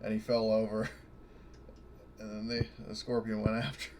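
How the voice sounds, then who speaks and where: A man's voice: on the low side, level tone, moderate pace, a crowd in the background. A man playing in a tabletop game, chatting casually and rambling, often breaking off mid-thought. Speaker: player. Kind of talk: casual chat at a gaming table.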